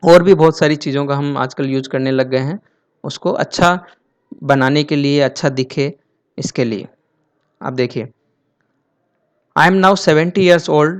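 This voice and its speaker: A man teaching a school lesson, reading text slowly and then explaining it in Hindi. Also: a little high, smooth.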